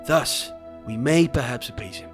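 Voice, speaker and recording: A solemn seer played by a man in an epic audiobook drama. He boldly declaims grave divine pronouncements in a medium-pitched voice, with music underneath. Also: normal speed, close to an everyday voice. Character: solemn, bold male seer speaking for a god.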